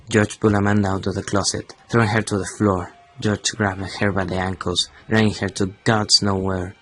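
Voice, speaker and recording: A man's voice: low-pitched, poor audio. A young man, a native Spanish speaker with a Chilean accent, narrating a scripted horror radio play in a dramatic, suspenseful way. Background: prominent music.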